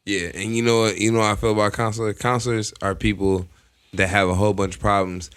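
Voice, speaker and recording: Man, 20s, podcast co-host: strong, bright voice, a bit low, dominates the conversation. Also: little pitch movement, quick pace, clear, resonant tone. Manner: forceful, holds the floor, argues his point. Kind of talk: casual chat.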